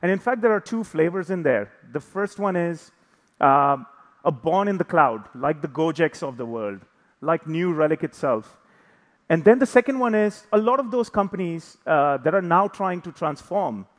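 Higher-pitched man presenting in a reverberant hall. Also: animated, normal speed. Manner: informal, joking. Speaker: conference speaker. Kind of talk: conference presentation. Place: large indoor conference hall, on stage.

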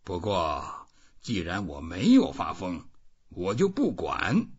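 Man reading as a husky, musing old fisherman. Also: deep voice, wildly swooping pitch, slow, muffled recording, full character voice. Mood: musing, defiant. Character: weathered old fisherman, lonely, talking to himself.

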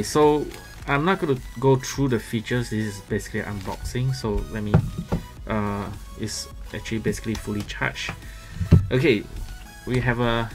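A man gives casual, halting unboxing commentary as a gadget reviewer. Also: medium pitch. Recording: music playing behind the voice.

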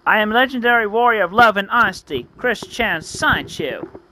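Man playing a melodramatic, high-voiced heroic card duelist. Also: lively intonation, moderate pace, bright, forceful tone, slight background noise, full character voice, low-quality recording. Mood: heroic, pleading. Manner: declamatory, melodramatic. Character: earnest, self-styled heroic card duelist, male.

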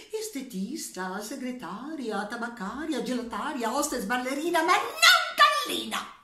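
A woman's voice: a little high, pushed and bright, exaggerated voice. A woman voicing a restless hen who dreams of becoming an actress, squawking clucks and theatrical outbursts. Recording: echoey room.

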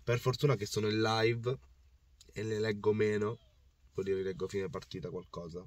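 A hoarse man in his thirties talks through a football match from his parked car, his voice low and a little rough. He rambles with passion and circles back over his own words, repeating points as he goes. The camera mic on the dashboard sits a little way from him, so he sounds slightly distant. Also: little pitch movement, normal speed, no room sound. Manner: passionate, rambling with restarts and repetitions. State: hoarse, voice worn out. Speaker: football fan vlogger. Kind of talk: casual match recap to camera. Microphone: phone or camera on the dashboard.

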